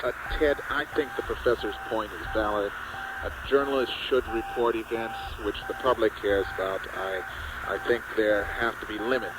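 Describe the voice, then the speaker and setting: An actor playing an evasive, diplomatic press secretary, speaking measured, hesitant officialese in a satirical radio play. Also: male voice, on the low side, steady tone, natural voice. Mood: evasively diplomatic. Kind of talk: satirical radio theater interview. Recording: very noisy radio link.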